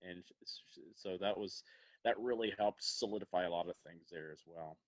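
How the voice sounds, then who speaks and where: A man's voice: deep voice, fairly quick, no echo, muffled recording. An American man chatting casually as an interview guest. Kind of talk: casual chat.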